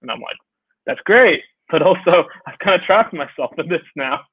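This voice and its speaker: A man's voice: on the high side, normal speed, breathy edge, no room sound. Laughing young man on a tinny call. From Brooklyn, New York. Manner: laughs often, animated storytelling. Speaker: guest. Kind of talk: casual video-call interview. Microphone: video call. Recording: tinny phone-like dry sound.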